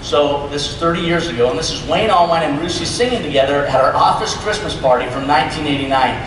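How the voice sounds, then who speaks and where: A man's voice: a little high, expressive, fast. A man hosting an informal stage presentation to an audience, recorded in a large auditorium from the seats. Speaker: event host presenting on stage. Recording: echoey large hall from far off, noisy.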